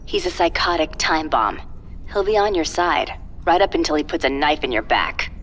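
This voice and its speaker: A woman's voice: sits low, lively intonation, normal speed, fairly bright tone, slight background noise. A woman voicing a cool, determined psychic covert agent in a video game, controlled and military in tone. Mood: urgent warning. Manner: controlled, crisp, military briefing tone. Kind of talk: video game cutscene acting.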